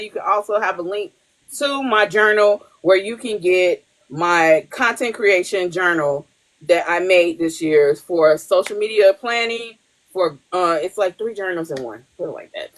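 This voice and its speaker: A woman hosting a podcast in casual chat, blunt and profane, laughing often. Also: medium pitch. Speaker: podcast host.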